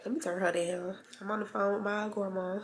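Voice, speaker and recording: A woman's voice: medium pitch, little pitch movement, brisk. A young woman vlogging to a handheld camera, talking casually and slangily to her viewers. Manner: chatty, slangy, addresses viewers as y'all. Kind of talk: casual vlog chat to camera. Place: parked car and indoors at home. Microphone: handheld camera at arm's length.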